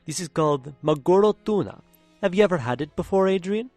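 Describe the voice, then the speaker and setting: A man's voice: high-pitched, expressive, a little fast, darkish tone, no room sound, faint music behind. A man playing a charming young man who teases and dotes on his friend in an audio drama.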